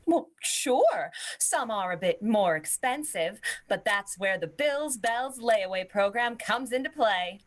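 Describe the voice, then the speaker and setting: A woman's voice: a little high, expressive, takes it slowly, hardly any pauses. A woman announcer delivering a playful scripted radio commercial in the manner of a sponsor spot. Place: indoors, recorded over a video call.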